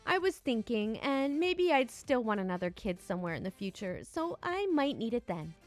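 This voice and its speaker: A woman's voice: fairly high pitch, sing-song, moderate pace, soft background music. Woman putting on a breezy, stingy haggler. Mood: breezy, dismissive. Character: petty, penny-pinching woman haggling over a resale.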